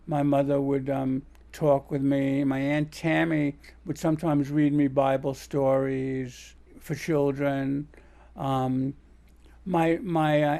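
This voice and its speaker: Male, higher-pitched with noticeable creak, for candid, halting, informal personal talk. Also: dark tone. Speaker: blind interview subject in an audio documentary. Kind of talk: casual candid talk and reminiscence.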